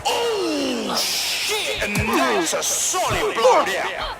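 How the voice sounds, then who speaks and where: An actor playing a rowdy hype man, shouting bombastic, foul-mouthed commentary at a brutal underground fight club in a video game. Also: very high-pitched, wildly swooping pitch, very slow, creaky, full character voice. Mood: frenzied excitement. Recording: loud music and crowd behind.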